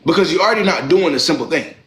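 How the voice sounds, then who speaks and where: Fast-talking blunt young man. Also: on the high side, expressive, reverberant, a crowd in the background. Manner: blunt, lecturing, slangy. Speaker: live-stream advice host. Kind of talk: blunt relationship advice to callers.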